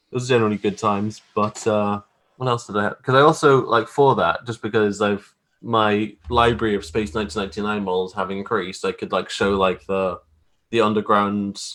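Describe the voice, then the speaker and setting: Low-pitched man, casual and wryly self-deprecating. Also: fairly bright tone. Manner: self-deprecating, wry, informal. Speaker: graphic artist guest. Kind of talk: casual interview chat.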